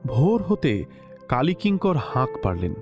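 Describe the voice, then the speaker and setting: A man narrating a classic story in a measured, expressive literary style. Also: mid-range voice. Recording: slightly dull lo-fi recording with soft music.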